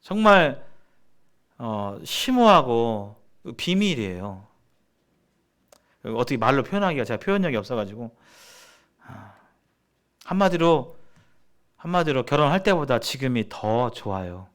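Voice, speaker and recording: A man's voice: mid-range voice, big pitch swings, a little slow, pauses often, a bit bright. Middle-aged man preaching, explaining step by step. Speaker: church seminar preacher. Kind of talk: sermon-like marriage seminar teaching.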